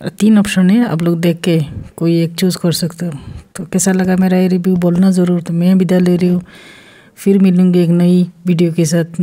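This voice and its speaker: A woman with a lowish, mellow voice talks through a casual home product review. She speaks in a flat, even tone and rambles, restarting sentences and mixing in English words. It sounds like a phone camera recording in a small room. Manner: chatty, rambling, with frequent restarts and English mixed in.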